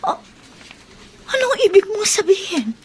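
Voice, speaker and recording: A woman's voice: very high-pitched, big pitch swings, measured pace, a little mellow, slight background noise, heavily acted. A woman playing a shocked, concerned, questioning friend in radio melodrama. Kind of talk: Tagalog radio melodrama acting.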